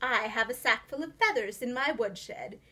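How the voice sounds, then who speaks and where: Woman putting on a sly gentleman fox. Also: a little high, animated, normal speed, slightly rough, voice pushed down, exaggerated voice. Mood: smooth, courtly. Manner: suave and formal, turning harsh and commanding. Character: sly, well-dressed male fox gentleman, falsely polite.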